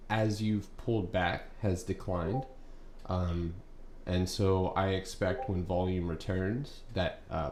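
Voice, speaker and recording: A man's voice: low voice, a little mellow. A young man hosting a live stock trading stream, talking casually and rambling with many ums and uhs. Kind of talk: live market commentary. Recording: echoey room, faint background noise.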